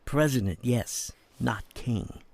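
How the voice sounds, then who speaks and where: An actor playing a shrewd older politician behind bars in a game cutscene, with an American accent and a wry, coaxing, avuncular manner. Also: male voice, mid-range voice, animated, slow, creaky, darkish tone, exaggerated voice. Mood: earnest, lecturing. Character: shrewd, smooth-talking jailed politician, older man, persuasive. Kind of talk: dramatic game cutscene acting.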